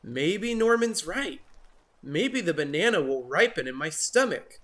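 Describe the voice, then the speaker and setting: A woman's voice: fairly low pitch, big pitch swings, unhurried, a bit bright. A woman voicing a grumpy, sulky male monkey in a children's storybook, grumbling in a whiny, emphatic way. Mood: miserable, complaining.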